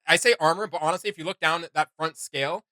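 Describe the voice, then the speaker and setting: A man's voice: a little high, quick pace, bright, forceful tone, dry recording. A man co-hosting a reaction channel, chatting casually and enthusiastically, rambling with many likes and ums.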